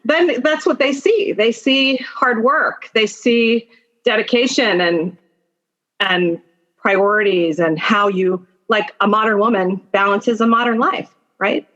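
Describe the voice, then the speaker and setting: Woman, middle-aged, somewhat high pitch, encouraging interview guest, direct address, a little dull-sounding recording. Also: slow, a bit bright. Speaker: podcast guest, attorney and mentor. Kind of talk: personal storytelling and career advice in a conversation.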